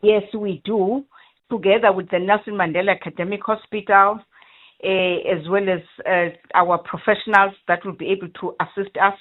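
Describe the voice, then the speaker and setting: A woman with a South African accent gives a halting, formal radio interview as a government official over the phone. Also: mid-range voice, steady tone. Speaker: provincial health official. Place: phone call. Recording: narrow phone line.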